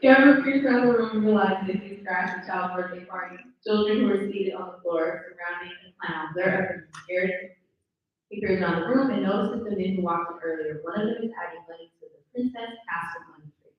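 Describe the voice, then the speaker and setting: A woman's voice: lowish voice, a little fast. A woman narrating stage directions at a live table read, heard from a distance in a dull, echoey room. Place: indoor event room, live stream.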